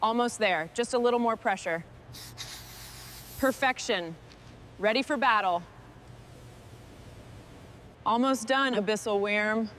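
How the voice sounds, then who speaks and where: A woman with a fairly high voice talks herself through restoration work. Her remarks are short, clipped and approving. Faint ambient and vehicle noise sits behind her. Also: takes it slowly, pauses often, fairly bright tone. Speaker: restorer narrating own work. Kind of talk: short task commentary during vehicle restoration.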